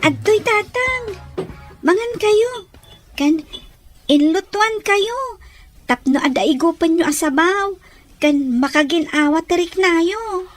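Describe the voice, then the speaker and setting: A woman's voice: very high-pitched, animated, slow, long pauses, soft background music, full character voice. A woman with a Filipino accent playing a young child pleading with parents in a radio soap drama. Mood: caring, coaxing.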